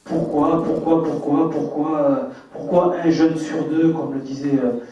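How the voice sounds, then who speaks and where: A man's voice: a little high, little pitch movement, unhurried, crowd noise. Hesitant young man in echoey hall. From France. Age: 20s. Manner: hesitant, full of fillers and restarts. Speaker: actor speaking at a public debate. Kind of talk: earnest public testimony. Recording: echoey hall, distant mic, dull sound.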